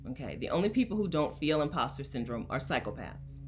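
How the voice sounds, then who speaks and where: A woman's voice: low voice, sing-song, brisk, soft background music. A woman from Delaware, a coach hosting a casual livestream and talking to her chat in an emphatic, chatty way, laughing often. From Delaware, USA. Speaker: coach and host.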